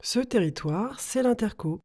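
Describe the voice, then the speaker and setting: A woman reading a scripted informational narration as an explainer, in a clear, didactic manner. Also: fairly high pitch, lively intonation, unhurried, darkish tone.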